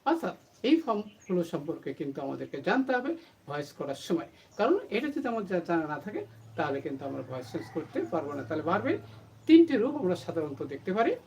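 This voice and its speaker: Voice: medium pitch, big pitch swings, brisk, darkish tone, a little hiss or hum. A teacher explaining a lesson to students, posing questions and then answering them, with English terms mixed in. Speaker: English grammar teacher for an online channel.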